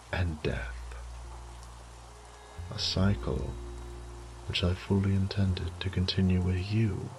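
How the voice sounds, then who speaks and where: Ancient vampire lord, male actor: very slow, creaky delivery, bored and silkily threatening, horror audio-drama style. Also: low voice, heavily acted. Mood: coldly menacing. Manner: slow, theatrical, mock-tender and condescending, lapsing into whispers.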